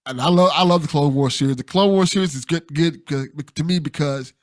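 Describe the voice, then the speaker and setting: Higher-voiced man hosting a casual chat. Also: fairly quick. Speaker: podcast host, interviewer.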